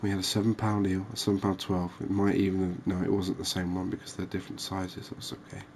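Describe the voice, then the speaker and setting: A man giving relaxed, unhurried, musing ASMR-style gameplay commentary, chatting to his viewers. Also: low voice, slight background noise. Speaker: host of a cozy fishing game channel.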